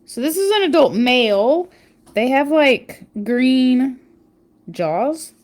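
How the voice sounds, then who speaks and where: High, lively, unhurried woman reading a picture book. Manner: expressive, dramatic emphasis, chatty asides. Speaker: children's picture book reader. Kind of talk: animated read-aloud storytelling with casual asides.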